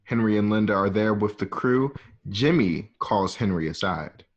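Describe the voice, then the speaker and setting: A man's voice: fairly low pitch, animated, normal speed. A man narrating an amateur radio play, reading stage directions in a loose, conversational, ad-libbing way. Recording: dull lo-fi home connection.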